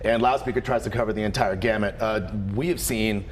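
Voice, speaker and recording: Low-voiced man in conversation. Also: steady tone, a little fast, slight background noise. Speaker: radio show co-host, interview guest. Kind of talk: casual interview talk.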